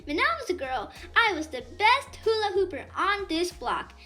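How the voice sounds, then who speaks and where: Woman voicing a spirited old lady, very high-pitched. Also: sing-song, moderate pace, pushed and bright, faint music behind, exaggerated voice. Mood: delighted, boastful. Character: affectionate, spirited elderly woman neighbor celebrating her birthday.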